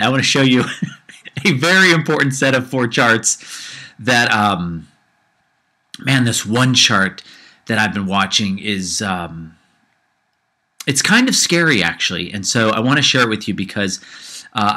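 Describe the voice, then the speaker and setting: A man's voice: on the low side, lively intonation, unhurried, pushed and bright. A man hosting his own podcast, ranting with rising heat and a slight cold in his voice, often repeating himself. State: low-grade cold. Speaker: podcast host. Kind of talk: casual solo podcast rant.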